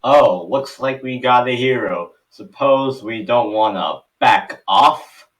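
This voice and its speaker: A man's voice: mid-range voice, slow pace, a bit bright, recorded from a distance, voice pushed up, poor audio. A man voicing a cocky, pushy schoolyard bully in a cartoon table read, with a taunting delivery.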